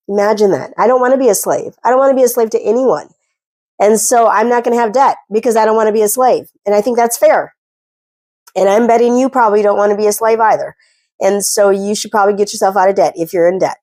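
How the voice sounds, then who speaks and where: A woman's voice: medium pitch, a little mellow, no room sound. Congested woman giving earnest money advice. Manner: chatty, earnest, self-deprecating. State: congested from a cold. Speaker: personal finance vlogger. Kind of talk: casual talking-head advice. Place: at home.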